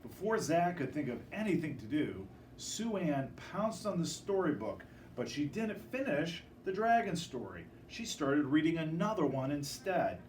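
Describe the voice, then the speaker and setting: A man reading a children's picture book aloud in an expressive, dramatic storytelling manner. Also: fairly high pitch, moderate pace, hardly any pauses, roomy sound. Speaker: storybook reader. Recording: distant microphone with faint background noise.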